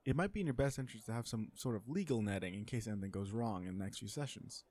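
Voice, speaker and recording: A man playing an awkward, blunt, legal-minded villain on a blind date in an audio roleplay, hesitant and deadpan. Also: fairly low pitch, fast, lightly acted. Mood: earnest, businesslike. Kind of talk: romantic comedy audio roleplay, one side of a dialogue.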